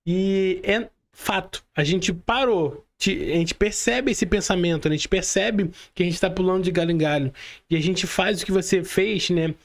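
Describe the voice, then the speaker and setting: A man's voice: sits high, moderate pace. A man with a Brazilian Portuguese accent hosting a conversational interview, explaining points and often checking for agreement with short tag questions. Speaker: podcast host.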